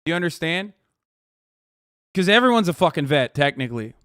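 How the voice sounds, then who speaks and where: Profane ranting man, smooth close voice. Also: a little high, very animated, a little fast, fairly bright tone, no room sound. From Turkey. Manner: profane, emphatic, rambling with restarts. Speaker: streamer. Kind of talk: reacting to clips, casual rant.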